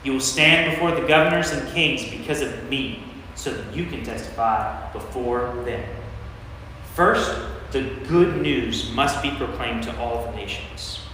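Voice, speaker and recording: A man's voice: mid-range voice, unhurried, pushed and bright. Commanding teacher in an echoing church, acted by a man. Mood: solemn warning. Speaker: lay performer in a church staged reading. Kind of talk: staged scripture reading. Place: large reverberant church. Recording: echoey church, distant mic, faint noise.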